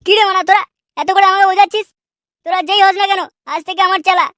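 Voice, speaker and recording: A man voicing a brash, foul-mouthed young male cartoon troublemaker, threatening and hot-headed, with a pitched-up voice. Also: fairly even intonation, very fast, bright, forceful tone, no room sound, studio quality. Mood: bossy, furious. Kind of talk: comedy cartoon dubbing.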